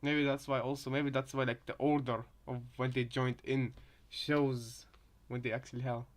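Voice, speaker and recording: A man's voice: mid-range voice, little pitch movement, moderate pace. Rambling male reactor, casual commentary. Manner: rambling, repeats and reworks phrases. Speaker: reaction video host.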